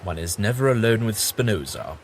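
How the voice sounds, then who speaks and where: A man's voice: on the low side, very animated, a little fast. A man playing a kindly, bookish, eccentric time traveller with an amiable, slightly absent-minded manner in an audio drama. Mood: teasing. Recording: audible background noise.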